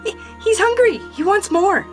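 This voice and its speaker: A woman voicing an excitable, tender-hearted young girl in a fan audio drama. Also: very high-pitched, normal speed, music in the background. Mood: excited.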